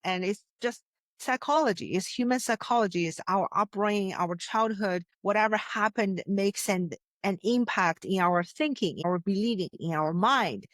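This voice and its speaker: A woman's voice: medium pitch, normal speed, no echo, studio quality. A woman from China, a success coach, telling her story with earnest emphasis and frequent restarts. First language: Chinese. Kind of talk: interview conversation.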